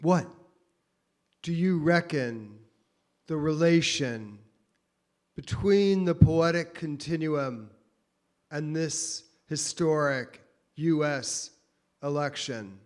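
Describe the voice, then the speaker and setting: Very slow, higher-voiced man, solemn. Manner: solemn, halting short phrases. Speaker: questioner addressing a poet.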